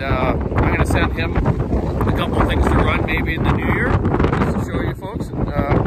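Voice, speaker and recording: A man in his fifties, a chainsaw shop owner, rambling in a folksy way with many fillers while he vlogs outdoors on his phone. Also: mid-range voice. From Canada. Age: 50s. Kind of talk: casual walking vlog. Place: windy seaside park outdoors. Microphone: phone camera at arm's length. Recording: loud wind noise on the phone mic. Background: gusting wind.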